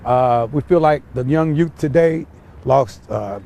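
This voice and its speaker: An American man speaking earnestly and haltingly in an informal interview about his community work, sometimes repeating words. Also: medium pitch. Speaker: community nonprofit founder being interviewed. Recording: clear outdoor traffic and car noise.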